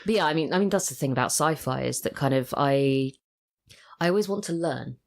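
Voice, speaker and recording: A woman's voice: low-pitched, expressive, a little fast. A woman with a British accent speaking as a podcast guest in casual conversation, wry and self-deprecating. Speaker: podcast guest, audio drama writer. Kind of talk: casual interview chat.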